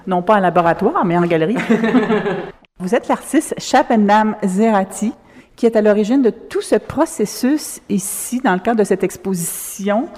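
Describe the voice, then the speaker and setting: Woman radio host, enthusiastic and effusive, mid pitch, reverberant gallery room. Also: mellow. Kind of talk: on-site radio interview. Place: art gallery.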